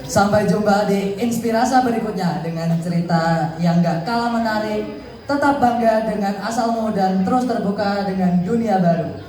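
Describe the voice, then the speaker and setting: A woman's voice: medium pitch, normal speed, warm tone. A teenage girl co-hosting a school stage segment, asking questions and giving an upbeat scripted sign-off, heard through a distant hall mic. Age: teens. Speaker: student co-host.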